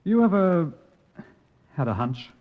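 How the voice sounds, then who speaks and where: An actor playing a methodical, respectful police sergeant in an old-time radio crime drama. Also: male voice, fairly high pitch, big pitch swings, takes it slowly, narrow, tinny audio. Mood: thoughtful, measured.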